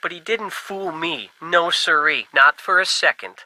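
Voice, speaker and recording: Bright, folksy working-class wisecracker. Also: male voice, a little high, a little slow, slight background noise, low-quality recording. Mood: dismissive, folksy. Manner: slangy, folksy, informal. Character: folksy working-class male ham radio buddy, skeptical, wisecracking. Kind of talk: video game voice acting.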